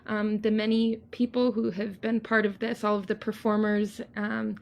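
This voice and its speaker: A woman's voice: mid-range voice, flat delivery, normal speed, gravelly, a little hiss or hum. A woman hosting an online festival, giving an informal welcome and thanks to her audience. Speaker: festival host.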